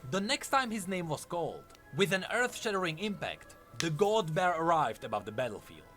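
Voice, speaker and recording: A man's voice: on the high side, sing-song, moderate pace, a bit bright, soft background music. A man narrating a scripted story in a solemn, theatrical way. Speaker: lore narrator.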